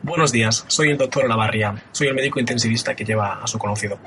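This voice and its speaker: An actor playing a calm, formal intensive care doctor who speaks in clinical terms. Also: male voice, medium pitch, quick pace, natural voice. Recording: noisy recording.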